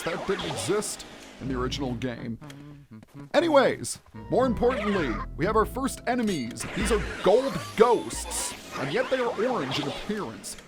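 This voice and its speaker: Let's play host, lively swooping intonation, chatty, explanatory, game music behind. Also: medium pitch. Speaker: gaming video host.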